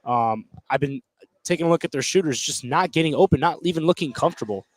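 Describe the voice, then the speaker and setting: A man with a high-pitched voice offers color commentary on a live basketball game. His delivery is informal and conversational, and he states his opinions freely as he breaks down the play. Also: fast talker, no room sound.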